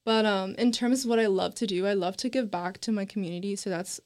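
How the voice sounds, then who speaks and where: A woman's voice: mid-range voice, fairly even intonation, quick pace, darkish tone. A woman in her twenties, a college student chatting casually on a panel, talkative and emphatic with frequent laughs. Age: 20s. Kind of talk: casual group chat.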